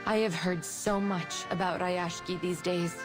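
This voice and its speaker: A woman voicing an earnest, troubled researcher in a fantasy game, speaking in a measured, formal, solemn way. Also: lowish voice, fairly even intonation, natural voice. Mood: earnest, pleading. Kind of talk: dramatic game dialogue. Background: game music.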